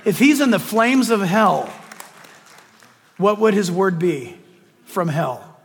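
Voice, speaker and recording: A middle-aged man preaching a sermon, reading scripture with emphasis and dropping into hushed asides. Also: sits high, very animated, takes it slowly, breathy edge, some chatter behind. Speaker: pastor. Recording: long reverb of a large hall.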